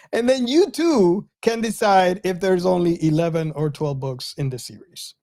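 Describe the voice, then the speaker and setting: A man's voice: sits high, measured pace, a little mellow, dry recording, clean studio sound. A man hosting a casual podcast chat, good-humoured, with hesitant phrasing. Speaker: podcast host.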